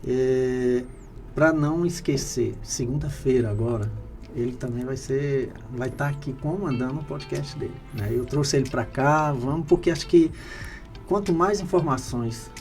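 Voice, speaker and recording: A man's voice: medium pitch, normal speed, darkish tone, soft background music. A man hosting a radio podcast, asking short, friendly questions and giving brief affirmations. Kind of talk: casual interview hosting.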